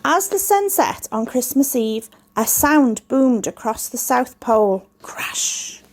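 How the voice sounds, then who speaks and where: Woman doing storytime for kids, high voice, takes it slow, expressive. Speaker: school staff member reading a story. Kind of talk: storytelling for children, read aloud.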